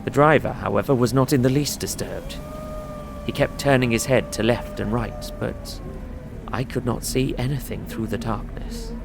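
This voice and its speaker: A man playing an anxious traveller in an audio drama, recounting a frightening journey in measured, literary, suspenseful narration. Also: medium pitch, vocal fry, background noise, close to an everyday voice. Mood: fearful wonder. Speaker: narrating lead character.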